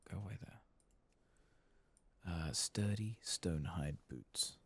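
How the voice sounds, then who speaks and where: Deep, gravelly soft-spoken man. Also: takes it slowly. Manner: murmuring to himself, reading text aloud. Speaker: ASMR gaming channel host. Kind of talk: soft-spoken and whispered ASMR game playthrough commentary.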